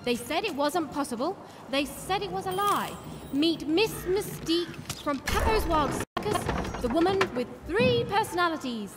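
A woman's voice: very high-pitched, lively intonation, normal speed. An actress playing a curious young girl, the heroine of a gothic fairy tale video game. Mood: curious, musing. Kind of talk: video game character acting. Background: game music.

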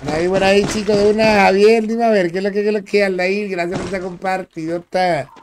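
A man with a Dominican accent chats through a live gaming stream, his voice sitting notably high. He stays upbeat, greets people by name and repeats phrases in quick bursts, and loud background noise runs under everything he says. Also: fairly even intonation. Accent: Dominican Spanish. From Dominican Republic. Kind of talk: casual live-stream gameplay chat.

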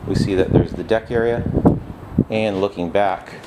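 Rental agent, male, very low voice, halting unscripted walkthrough, bare echoing rooms, noisy camera mic. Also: fairly even intonation. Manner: halting, with frequent uh fillers and restarts. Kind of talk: unscripted property tour narration. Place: empty apartment, indoors, walking room to room. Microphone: camera mic on a handheld camera. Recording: echoey empty rooms with background noise.

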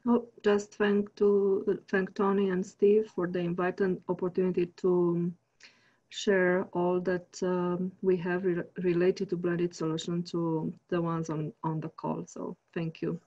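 A woman from Romania with a Romanian accent, speaking haltingly as co-presenter on a webinar video call. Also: on the low side, little pitch movement, mellow. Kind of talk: brief remarks on a webinar. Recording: muffled video call audio.

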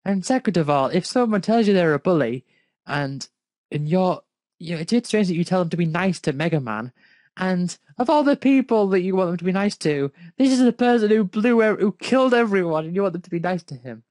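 A man's voice: high voice, animated, fairly quick, darkish tone, dry recording. A man reading a story aloud and commenting on it casually in an incredulous, nitpicking way. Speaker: fan fiction reader and commentator.

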